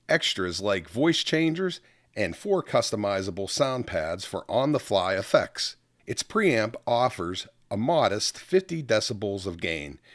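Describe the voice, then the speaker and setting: A man's voice: on the low side, no room sound, clean studio sound. A man hosting a scripted product review as a narrator. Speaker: product reviewer and channel host.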